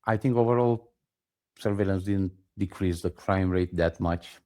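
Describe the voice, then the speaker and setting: A man's voice: sits low, a little slow, a little mellow. A man from Romania with a Romanian accent, co-hosting a casual podcast and adding skeptical, wry asides. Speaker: podcast co-host.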